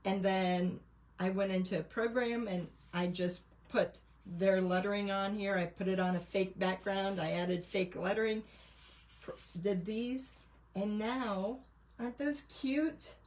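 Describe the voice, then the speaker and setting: Casting: woman, mid-pitched and unhurried, rambling casual painting teacher for a craft livestream. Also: steady tone, a little mellow. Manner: rambling, chatty show-and-tell. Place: indoors at a craft table.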